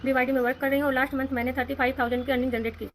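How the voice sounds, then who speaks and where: A woman giving a quick, rehearsed testimonial. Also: high voice, flat delivery, a little hiss or hum, poor audio. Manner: rapid, rehearsed delivery. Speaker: team member giving a testimonial.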